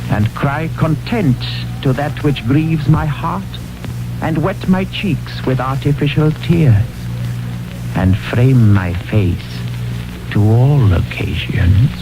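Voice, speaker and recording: A man's voice: mid-range voice, lively intonation, slow pace, over music, rough recording. A man acting a scheming, murderous royal usurper in classical radio theatre, theatrical and declamatory. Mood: gleeful menace.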